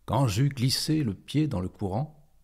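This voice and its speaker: A man reciting poetry in a dramatic style, phrasing deliberately with frequent pauses and a husky voice. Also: mid-range voice, expressive, a little mellow. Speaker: poetry performer.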